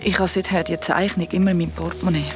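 A woman's voice: lowish voice, little pitch movement, racing, music in the background, close to an everyday voice. An actress with a Swiss German accent playing a troubled woman in a naturalistic audio drama. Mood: haunted, sad. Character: troubled woman confessing a haunting night train memory.

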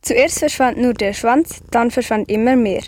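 A woman playing a storybook narrator, reading a children's fantasy tale in a measured, scripted way. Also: a little high, darkish tone, no room sound, close to an everyday voice.

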